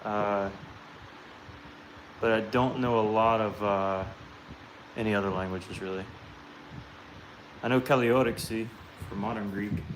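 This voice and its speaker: A man's voice: lowish voice, fairly even intonation, measured pace, pauses often, roomy sound, a little hiss or hum, rough recording. A man hosting a casual live stream, chatting while he knaps stone and reading viewer comments aloud. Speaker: livestream host.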